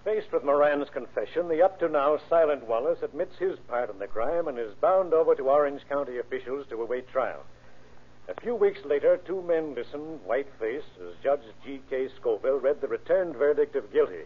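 A man's voice: mid-range voice, expressive, moderate pace. A man reading a scripted crime case narration in 1930s radio style. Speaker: police chief narrating a case. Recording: muffled, band-limited old radio recording.

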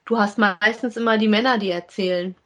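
A woman with a medium-pitched voice chats as a podcast co-host. She gives her opinions in plain, colloquial language and comes across as agreeable and conversational. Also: fairly even intonation, brisk, fairly bright tone. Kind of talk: casual review chat about an audio drama.

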